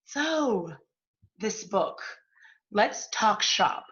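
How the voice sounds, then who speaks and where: A woman's voice: medium pitch, big pitch swings, drawn-out words, a bit bright, lo-fi sound, exaggerated voice. A woman playing a brash, impatient literary agent in a stage play, blunt, profane and domineering. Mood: brusque, impatient. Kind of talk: one-act stage play dialogue.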